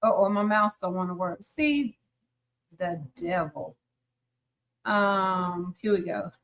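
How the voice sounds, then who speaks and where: A woman's voice: fairly low pitch, takes it slowly, fairly bright tone. A woman in her fifties hosting a live podcast interview, chatty and encouraging. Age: 50s. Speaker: podcast host.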